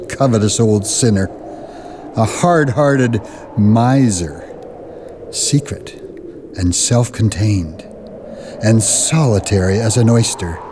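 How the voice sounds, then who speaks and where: An actor playing a grave storyteller, narrating a classic tale in a deliberate, dramatic way, relishing each word. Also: male voice, medium pitch, noisy background.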